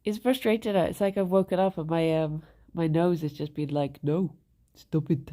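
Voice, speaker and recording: A woman's voice: deep voice, steady tone, normal speed, warm tone. A woman with a stuffed-up nose, chatting casually with her live chat, greeting viewers by name and giggling often. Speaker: streamer.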